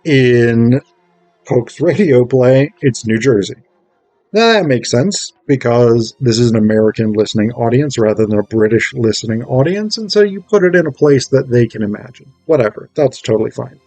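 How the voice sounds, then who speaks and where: A man's voice: mid-range voice, expressive, moderate pace, dark tone, no echo, faint music behind. A man giving a casual spoken review, enthusiastic and digressive, often thinking aloud as he goes. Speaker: amateur stage actor reviewing a play script.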